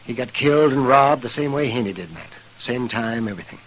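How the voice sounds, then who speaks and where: An actor playing a grave, frank older American town doctor with a husky voice in a western radio drama. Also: male voice, medium pitch, lively intonation, a little fast, a bit bright, low-quality recording. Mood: grave, reluctant.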